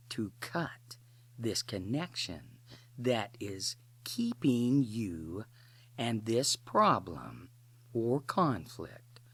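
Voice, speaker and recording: A woman leading a guided imagery exercise in an instructional way, speaking in deliberate short phrases with long pauses. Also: very deep voice, breathy edge, no room sound.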